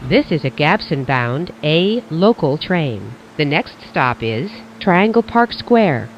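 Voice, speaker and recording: A train announcer reading scripted automated station announcements in a measured, formulaic way. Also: medium pitch, big pitch swings, highs cut off, slight background noise.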